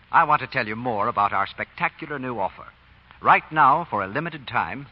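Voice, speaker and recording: Muffled old radio recording of an American male announcer. His mid-pitched voice swings in animated sales emphasis, with a husky grain. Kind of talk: 1940s radio advertising announcing.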